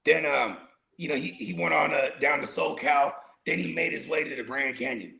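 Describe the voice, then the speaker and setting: A man's voice: on the high side, moderate pace, vocal fry, pushed and bright. A man in his forties co-hosting a podcast, rambling through casual, profane banter and laughing often. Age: 40s. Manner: rambling, laughs often, says "you know what I mean". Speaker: podcast co-host.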